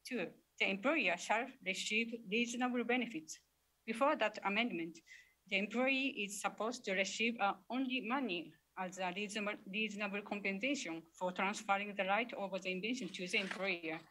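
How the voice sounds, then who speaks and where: A woman judge from Japan, reading a prepared answer on a conference panel in a careful, measured way. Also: mid-range voice, pushed and bright, rough recording.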